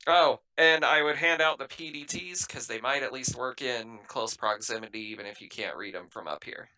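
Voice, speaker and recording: Man, tabletop player, strikingly bright voice, clean and smooth, measured, articulate, improvised planning. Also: medium pitch, rough recording. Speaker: tabletop role-playing player. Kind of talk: improvised in-character talk and planning.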